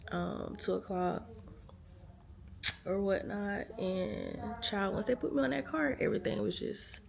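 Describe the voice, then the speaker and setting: A woman's voice: mid-range voice, unhurried, vocal fry, a crowd in the background. A tired woman, chatting casually to viewers from a hospital room. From United States. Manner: chatty, lots of fillers, speaks to viewers as y'all. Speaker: vlogger. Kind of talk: casual storytelling to camera.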